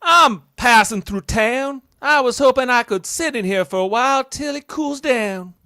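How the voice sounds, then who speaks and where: A woman acts out a courteous traveling man in an amateur western radio drama. The delivery is slow and very lively in pitch, with a bright, forward tone. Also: no room sound, studio quality, full character voice. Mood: friendly, curious. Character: male starship captain posing as polite drifter. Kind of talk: amateur radio play acting.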